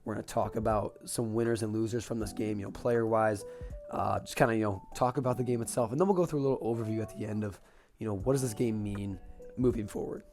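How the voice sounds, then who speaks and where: A young man with a raspy voice, getting over an illness, excitedly recapping a football game as a podcast host, with casual fillers. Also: fairly low pitch, fast, faint music behind. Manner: excited fan talk with fillers.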